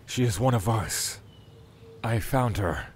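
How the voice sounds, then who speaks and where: An actor playing a solemn, brooding god of the void in an audio drama, speaking in measured, terse lines. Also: male voice, lowish voice, a little hiss or hum. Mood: calm.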